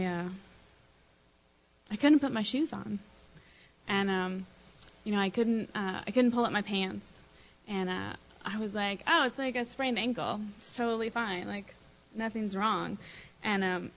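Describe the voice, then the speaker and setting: A woman in her thirties from the States tells her recovery story in a candid, self-deprecating way, full of ums and restarts. Her voice is bright and forward, caught on a dull, lo-fi recording. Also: medium pitch. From United States. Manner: candid, self-deprecating, rambling with many fillers. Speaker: recovery meeting speaker. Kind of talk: personal recovery share.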